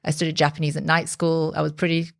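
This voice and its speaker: Woman, 40s, founder guest: low, gravelly voice, candid and reflective, close and dry. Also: completely flat delivery, fast, dry recording. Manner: reflective, candid, frequent restarts and fillers. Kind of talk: conversational podcast interview.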